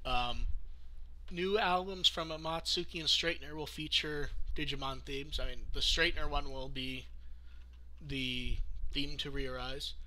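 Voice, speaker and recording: A man's voice: fairly high pitch, steady tone, measured pace, sharp tone, rough recording. A man hosting a podcast, casually talking through news and thinking aloud as he goes. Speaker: podcast host. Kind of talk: casual news discussion among podcast co-hosts.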